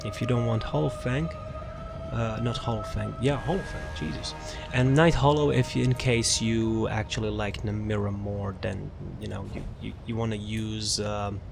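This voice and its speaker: A man's voice: on the low side, steady tone, normal speed, creaky. A man giving casual, off-the-cuff video game commentary as he presents a build guide, rambling and repeating his phrases. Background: music.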